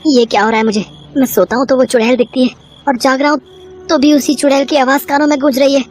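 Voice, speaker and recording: A woman's voice: high-pitched, steady tone, quick pace, a little hiss or hum, natural voice. A woman voicing a young man in a horror story, talking to himself in short, repeated, reactive phrases. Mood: shaken, confused. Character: young man, scoffing horror fan turned frightened victim.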